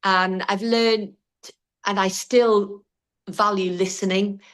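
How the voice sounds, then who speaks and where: Geordie woman, thoughtful and unhurried. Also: medium pitch, fairly even intonation, fairly bright tone. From Newcastle, England. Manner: reflective, frequent ums and restarts. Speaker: hotel director, interview guest. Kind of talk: business interview.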